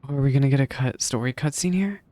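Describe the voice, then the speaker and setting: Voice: mid-range voice, fast talker, dark tone. A streamer giving casual live reaction commentary while following a game's story.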